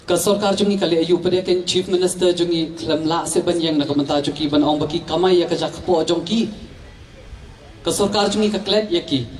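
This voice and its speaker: Fairly high male rally interpreter, echoing. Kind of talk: public address, translating a speech. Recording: echoey PA system from far off.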